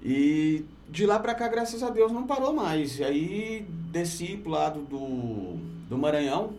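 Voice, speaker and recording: A man's voice: fairly high pitch, sing-song, measured pace, a little mellow, soft background music. A Brazilian man speaking colloquially as a musician guest on a radio show, telling anecdotes in a casual, joking way.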